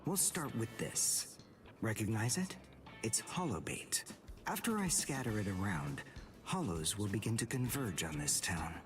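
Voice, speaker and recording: Haughty teenage rival, dramatically varied delivery. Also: male voice, lowish voice, sing-song, distant mic, faint music behind. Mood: cool menace. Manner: haughty, deliberate, condescending. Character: cold, arrogant teenage male archer rival. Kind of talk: English anime game dub acting.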